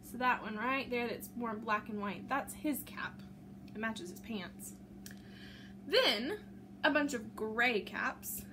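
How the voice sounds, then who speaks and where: Woman, high voice, unhurried pace, expressive storybook read-aloud, teacherly asides, noticeable background noise. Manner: expressive, simple teacherly explaining. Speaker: storybook reader for children.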